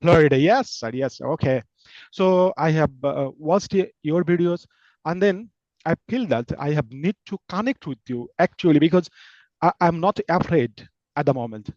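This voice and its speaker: Man, Bangladeshi accent, hesitant English learner, slightly high voice, muffled dry recording. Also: a little mellow, no room sound. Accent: Bangladeshi Bengali. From Bengal. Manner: hesitant, halting English with many fillers. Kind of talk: casual conversation.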